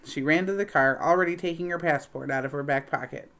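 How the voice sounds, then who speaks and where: A woman with a low-pitched voice reads a children's novel aloud. She delivers it as straightforward story narration. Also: fast, fairly bright tone. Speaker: read-aloud reader.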